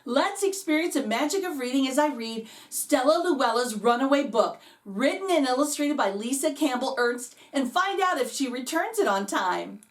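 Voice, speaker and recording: A woman's voice: high voice, expressive, moderate pace, hardly any pauses. A woman reading a children's storybook aloud as host, narrating expressively for young listeners.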